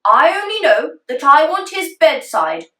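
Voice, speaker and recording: A woman voicing a demanding, stubborn male king in a storybook tale, speaking loudly and firmly. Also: sits high, big pitch swings, a little slow, voice pushed up, exaggerated voice. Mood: commanding.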